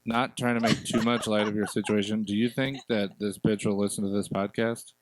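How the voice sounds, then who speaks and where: A young man co-hosting a podcast in casual chat, making deadpan jokes. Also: fairly low pitch, brisk, a little hiss or hum. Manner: joking, deadpan quips. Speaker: podcast co-host.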